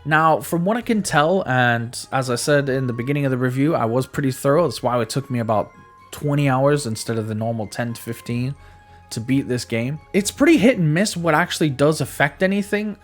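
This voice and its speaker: A man giving a casual, opinionated game review in a conversational way, repeating words for emphasis. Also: medium pitch. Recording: background music under voice. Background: music.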